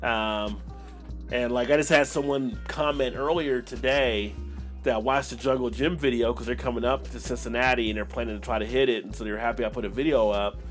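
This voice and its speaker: A man's voice: medium pitch, fairly even intonation, a little fast, soft background music. An American man hosting a casual live stream, talking to viewers in a rambling, conversational way. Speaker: beer channel live stream host.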